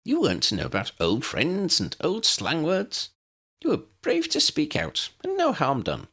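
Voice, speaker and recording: A man's voice: a little high, huge pitch swings, normal speed, bright, forceful tone, breathy edge, pitched above the performer's own voice, exaggerated voice. A man voicing a diminutive elderly wizard professor in an audiobook, kindly, fussy and politely old-fashioned. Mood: soothing, kindly.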